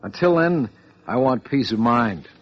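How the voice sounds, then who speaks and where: An actor playing a wealthy, vain, self-deluded victim in an old-time radio crime drama. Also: male voice, mid-range voice, lively intonation, unhurried, lightly acted. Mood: self-assured. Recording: dull old radio recording.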